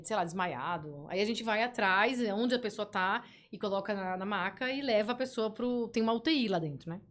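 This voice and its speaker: A woman guest on a podcast, telling work stories in a casual, lively way with frequent restarts. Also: fairly high pitch. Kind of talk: casual storytelling.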